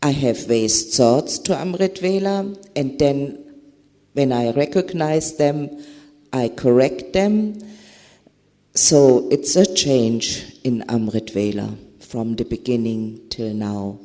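Man, halting and earnest, warm dark tone, long room reverb. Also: mid-range voice. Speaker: panel speaker. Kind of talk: reflective spiritual sharing at a Q&A. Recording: reverberant hall.

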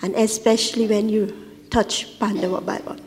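A woman's voice: mid-range voice, fairly even intonation, moderate pace. A woman from Guyana, an audience member, politely asking a question at a Q&A. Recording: reverberant hall with loud background noise.

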